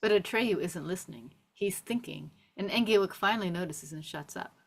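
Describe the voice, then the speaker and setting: A woman with a somewhat low voice narrates a screenplay. She reads scene descriptions and stage directions aloud as the narrator of a table read. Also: fairly quick, creaky. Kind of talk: scripted narration.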